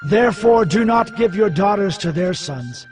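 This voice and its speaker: A man's voice: high-pitched, animated, normal speed, music in the background. An actor playing a grief-stricken, devout priest in a dramatized scripture reading, solemn and pleading as he declaims. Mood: pleading, penitent. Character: grief-stricken, ashamed, devout male priest praying to God.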